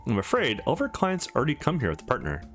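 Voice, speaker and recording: A man's voice: mid-range voice, huge pitch swings, very fast, soft background music. Man voicing a formal, polite space attendant. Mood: polite, informative. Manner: formal, scripted customer-service phrasing. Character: polite, matter-of-fact space station date service attendant.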